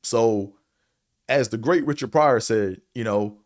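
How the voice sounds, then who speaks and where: A man with a deep voice and a slight stutter, giving a blunt, profane, rambling opinion monologue as a show host, full of fillers. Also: takes it slowly, darkish tone. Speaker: show host reviewing a series.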